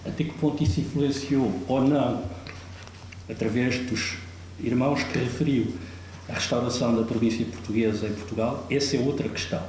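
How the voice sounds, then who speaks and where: A man moderating a session, giving a slow, deliberate summary for the audience. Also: mid-range voice, fairly even intonation, darkish tone. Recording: very echoey hall with faint noise.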